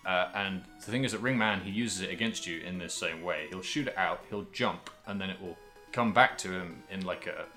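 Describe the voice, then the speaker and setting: A man co-hosting a podcast, casually talking through video games in a halting, thinking-aloud way. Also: sits low, bright, forceful tone, soft background music. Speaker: podcast host. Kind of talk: casual video game discussion.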